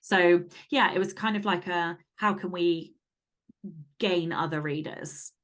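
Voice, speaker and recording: A woman's voice: fairly low pitch, a little slow, fairly bright tone. A woman chatting casually as an interview guest, candid and blunt, swearing freely. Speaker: podcast guest and fantasy romance author.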